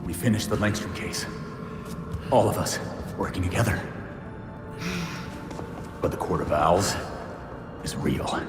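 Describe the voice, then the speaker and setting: A man's voice: deep voice, unhurried, pauses often. A man playing a loyal, defiant young vigilante in a video game, earnest and wry with emotional urgency. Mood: weary, wry. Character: gruff, loyal young male vigilante, wounded but defiant. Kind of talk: dramatic video game cutscene acting. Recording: echoey hall reverb with music.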